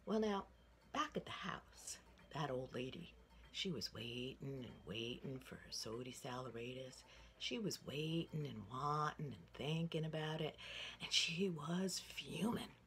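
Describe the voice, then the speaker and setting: A low-voiced woman in her sixties spins a children's tale with folksy drama. Her pitch moves widely and she pauses for emphasis. It is a phone recording made indoors with noise behind her. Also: lively intonation, a bit bright. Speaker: storyteller. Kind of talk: animated oral storytelling for children. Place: indoor room with wooden ceiling. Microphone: phone at arm's length.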